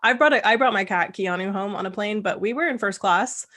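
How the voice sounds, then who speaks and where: A woman hosting a casual interview, talking in a chatty way with quick asides. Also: mid-range voice, sharp tone. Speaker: host. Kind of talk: casual video-call chat.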